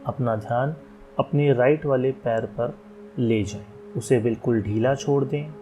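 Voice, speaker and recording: A man leading a guided relaxation meditation as an instructor, giving calm, unhurried instructions with long pauses. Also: medium pitch, low-quality recording. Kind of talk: guided yoga nidra meditation, slow soothing instructions. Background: meditation music.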